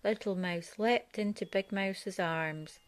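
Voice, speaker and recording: A woman's voice: medium pitch, takes it slowly. A woman narrating a children's picture book aloud as a storyteller.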